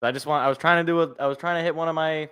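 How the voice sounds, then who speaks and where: An American man with a slightly raspy, congested voice, hosting a casual solo sports talk show in a rambling, jokey way that repeats phrases for emphasis. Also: on the high side, steady tone, racing, very noisy. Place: indoors at home.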